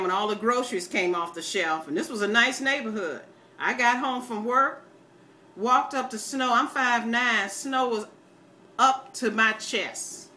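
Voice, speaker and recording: Bright-voiced older American woman, folksy and plain-spoken. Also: mid-range voice, slight background noise. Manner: folksy, opinionated, plain-spoken, calls listeners baby. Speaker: video blogger. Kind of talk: casual rambling chat to viewers.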